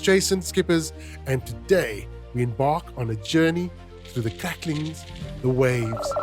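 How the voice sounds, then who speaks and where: A man's voice: medium pitch, a little mellow. A man hosting a podcast, reading scripted narration in a dramatic storyteller's manner. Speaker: podcast host.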